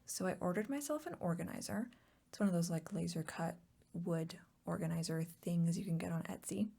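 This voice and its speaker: Woman, soft-spoken ASMR host, whispery, unhurried, lowish pitch, mellow tone, casual chat. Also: creaky, breathy edge. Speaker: host of a crafting and board game channel.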